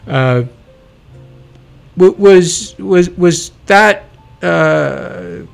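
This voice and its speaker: A man's voice: high-pitched, extremely slow, gravelly, clear, resonant tone, soft background music. A man hosting a radio interview, asking chatty, teasing questions. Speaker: radio host. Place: radio studio.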